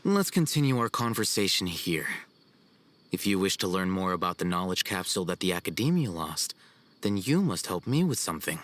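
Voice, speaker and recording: A man voicing a cool, haughty young scholar in a video game, formal and measured. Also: low-pitched, very animated, fairly bright tone. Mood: aloof, businesslike. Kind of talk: video game cutscene acting. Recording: music behind the voice.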